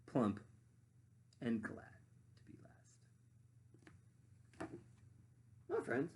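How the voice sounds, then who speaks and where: A woman reading a storybook expressively to children, with dramatic pauses and asides to her young listeners. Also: rumbling low, darkish tone, husky. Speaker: storybook reader.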